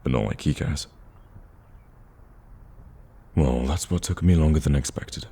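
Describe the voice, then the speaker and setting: A man's voice: a bass voice, a little slow, gravelly, mellow, breathy edge, full character voice. A man playing a smitten villain on a date in a romantic ASMR roleplay, speaking casually and eagerly and getting easily flustered. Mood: annoyed, dismissive. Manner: casual, conversational, stammers when flustered.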